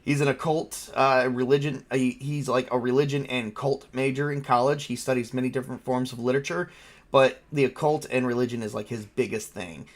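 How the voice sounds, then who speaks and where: A man's voice: mid-range voice, steady tone, a little fast. A man hosting a tabletop game livestream as game master, chatting informally in a rambling, mildly crude way. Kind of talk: casual livestream chat.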